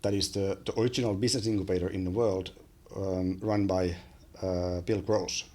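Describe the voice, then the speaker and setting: A man in his forties with a Finnish accent speaks in a lowish register as a podcast guest. He talks with frequent ums and restarts, close to a studio microphone in a small bright room. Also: fairly even intonation, moderate pace. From Finland. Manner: hesitant, many fillers and restarts. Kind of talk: casual interview chat. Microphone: studio mic on boom arm.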